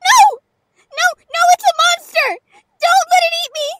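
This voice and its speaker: A performer voicing a terrified little girl with a shrill, squeaky voice and panicked, stammering cries, in a horror audio roleplay. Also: measured pace, very noisy.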